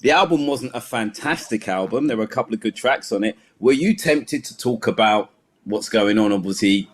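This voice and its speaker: A man's voice: fairly low pitch, normal speed, breathy edge. A man hosting a panel discussion as DJ and broadcaster, chatty and eager in the way he talks. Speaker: host, DJ and broadcaster.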